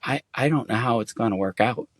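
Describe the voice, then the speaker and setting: A middle-aged man raised in Germany, answering interview questions in a halting, hesitant way with many fillers. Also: mid-range voice, no echo, clean studio sound. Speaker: interview guest. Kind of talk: casual conversation.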